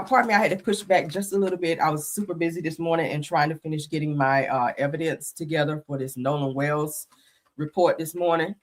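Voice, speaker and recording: Chatty woman host with a slight cold. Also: deep voice. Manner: chatty, greets viewers often, says y'all. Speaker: live stream host. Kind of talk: casual live stream commentary.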